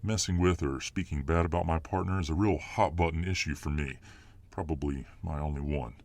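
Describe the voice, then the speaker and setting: A man's voice: very deep voice, brisk, darkish tone, no room sound. A man playing a dominant, boastful, hot-tempered muscular fireman boyfriend in an ASMR roleplay audio drama. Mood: calming down, apologetic. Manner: boastful, cocky swagger.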